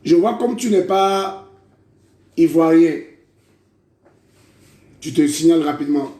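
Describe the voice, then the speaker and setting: A man's voice: on the high side, expressive, takes it slowly, warm tone. A man preaching a prophetic sermon, declaiming in repeated phrases and calling listeners brother. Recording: echoey room.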